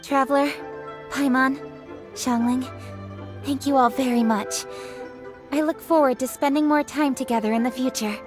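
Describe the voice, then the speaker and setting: A woman's voice: high-pitched, fairly even intonation, over music, lightly acted. A woman playing a poised, courteous young woman in a video game cutscene, speaking in a formal, earnest way. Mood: politely grateful. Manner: formal, measured phrasing, polite.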